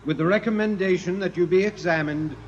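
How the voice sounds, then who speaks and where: An actor in a 1950s radio drama playing a stern courtroom judge, formal and declamatory. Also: male voice, sits high, a little fast. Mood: stern, solemn. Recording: noisy, dull old radio recording.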